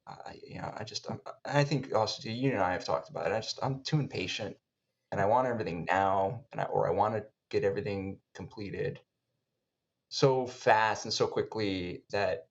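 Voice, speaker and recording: A man hosting a conversational podcast, speaking in a wordy, halting, reflective way. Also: on the low side, muffled recording. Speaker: podcast host.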